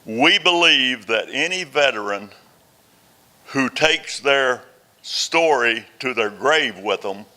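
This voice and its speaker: An older man rallies a room of fellow veterans in a slow, measured delivery. His voice is bright and forceful. He throws in gibes between his calls to action. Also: medium pitch, lively intonation. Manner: rousing, exhorting, with jokes. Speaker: introducer at a veterans' association meeting. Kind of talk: speech introducing a guest. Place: meeting hall before a live audience.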